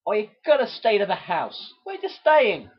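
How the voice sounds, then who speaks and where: A brash young New York woman, whiny and overblown in a parody romance. The voice is very hoarse-edged and leaps about in pitch, on a dull, lo-fi recording. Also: wildly swooping pitch, normal speed. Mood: surprised, fussy. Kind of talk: parody dramatic reading.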